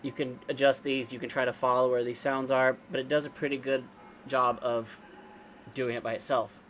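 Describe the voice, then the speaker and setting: A man giving an informal, unscripted software walkthrough, explaining step by step in a casual way. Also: mid-range voice, level tone, normal speed, slight background noise. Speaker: amateur radio operator giving a software tutorial. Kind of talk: informal screen-share tutorial, unscripted walkthrough.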